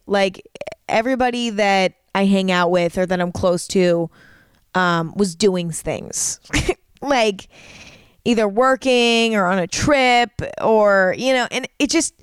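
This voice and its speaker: A woman's voice: mid-range voice, clear, resonant tone, no room sound, clean studio sound. A young woman, hosting a podcast in rambling casual chat full of "like" and "um". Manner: chatty, rambling, uses "like" and "um" a lot. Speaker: podcast host.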